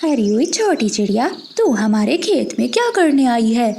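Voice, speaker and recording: Cartoon crow wife, played by a woman: high-pitched, scornful, brusque, stingy, slight noise behind. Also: sing-song, normal speed, creaky, dark tone. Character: haughty, stingy female crow, the crow's wife. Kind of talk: animated children's moral story dialogue.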